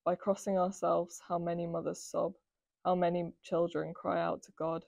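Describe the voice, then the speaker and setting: A woman's voice: lowish voice, level tone, moderate pace, creaky, a little mellow, no echo. Young woman reading a poem, dry. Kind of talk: poetry reading.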